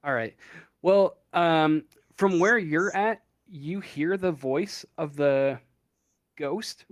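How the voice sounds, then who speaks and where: A man narrates a tabletop horror game as game master, pausing often and filling gaps with ums and uhs. He speaks slowly, in a slightly high voice, recorded dry and close over voice chat. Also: no echo. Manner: halting, many ums and uhs. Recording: online voice chat.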